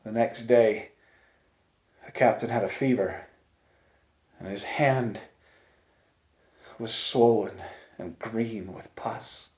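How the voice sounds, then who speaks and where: A man telling a dramatic first-person story in a theatrical manner, moving between hushed tones and urgent cries. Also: fairly low pitch, takes it slowly, long pauses. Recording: echoey, roomy sound.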